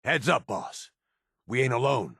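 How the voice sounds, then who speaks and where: Gravelly, cynical raider henchman. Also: medium pitch, sing-song, unhurried, a bit bright, no room sound, clean studio sound, exaggerated voice. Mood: alert. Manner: rough folksy slang, sardonic and menacing. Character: tough, cynical middle-aged male raider henchman. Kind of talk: video game character voice lines.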